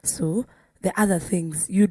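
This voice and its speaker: Female panelist, Kenyan accent, slightly low relaxed voice, dry close recording, conversational. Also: moderate pace, slightly rough, no echo. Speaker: singer panelist. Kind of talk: casual panel discussion.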